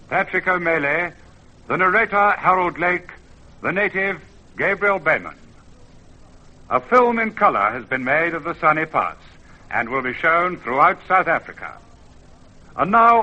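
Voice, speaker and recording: Forceful male vintage radio announcer. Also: a little high. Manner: formal, declamatory. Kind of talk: formal radio sign-off and sponsor announcement. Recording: dull vintage radio recording.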